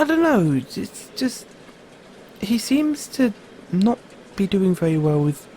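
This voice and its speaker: Male actor as anxious, kindly British android owner turned sleuth; slow, stammering delivery, faint noise behind. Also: a little high, darkish tone, full character voice. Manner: hesitant, halting, stammering. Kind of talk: audio roleplay acting.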